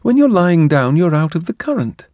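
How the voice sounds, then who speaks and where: A male reader voicing a prim, slightly deaf young woman in an audiobook, speaking in an earnest, lilting falsetto. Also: sing-song, moderate pace, a little mellow, no echo, highs cut off. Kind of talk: audiobook character voice.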